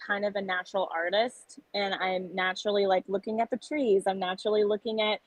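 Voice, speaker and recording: A young woman from South Texas chats earnestly as an interview guest. She restarts her phrases often and keeps talking quickly. The sound is dry and close, with no room echo. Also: mid-range voice, steady tone. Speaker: singer-songwriter guest. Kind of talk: casual interview conversation.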